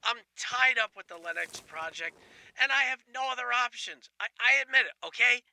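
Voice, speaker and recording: A man's voice: very high voice, expressive, moderate pace, gravelly, bright, forceful tone, heavily acted, rough recording. A man playing a frantic, apologetic coworker begging for help in an audio drama. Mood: frantic, pleading. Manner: pleading, apologetic. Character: frantic, pleading male coworker shirking his work.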